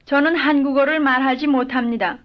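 A woman giving careful, measured full-sentence answers as the model speaker in a recorded language drill. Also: sits high, steady tone. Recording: dull lo-fi old lesson tape.

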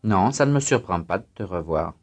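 Lowish male voice answering language drills, careful full sentences. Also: lively intonation, fairly quick, breathy edge. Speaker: student voice and exercise announcer. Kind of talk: scripted language drill responses.

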